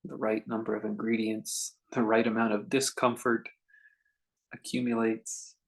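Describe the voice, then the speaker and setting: Creaky-voiced man guiding meditation. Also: on the low side, flat delivery, low-quality recording. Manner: contemplative, unhurried, with long pauses between short phrases. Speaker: meditation teacher. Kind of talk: guided meditation talk.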